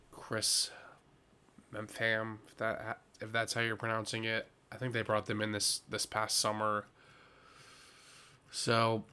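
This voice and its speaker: A man's voice: on the low side, sharp tone. A man giving casual, laid-back gaming commentary as host, sounding a little stuffy with a head cold.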